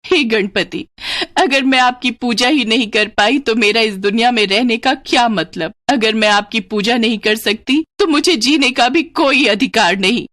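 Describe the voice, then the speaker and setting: A woman's voice: on the high side, moderate pace, a bit bright, voice pushed up, natural voice. A woman voicing a devout, wronged young daughter-in-law who pleads earnestly and sorrowfully in a cartoon moral tale. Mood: hurt, despairing.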